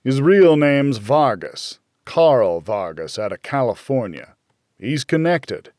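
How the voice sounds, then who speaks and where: A man's voice: medium pitch, sing-song, slow, darkish tone, no room sound, studio quality. A man voicing a blunt, needling, worried older friend in a noir audiobook. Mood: informative.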